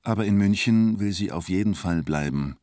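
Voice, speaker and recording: Deep-voiced man narrating a radio drama. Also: normal speed, dark tone, no echo, studio quality. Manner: terse present-tense storytelling in short clipped phrases.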